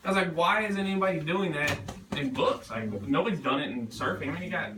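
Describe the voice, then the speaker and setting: A man's voice: on the high side, quick pace. Rambling man in an echoey, noisy room. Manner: rambling, self-deprecating, thinks aloud. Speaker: author at a book reading. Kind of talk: informal question-and-answer talk at a reading. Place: bookstore event room. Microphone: distant room microphone. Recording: echoey room, distant mic, noisy background.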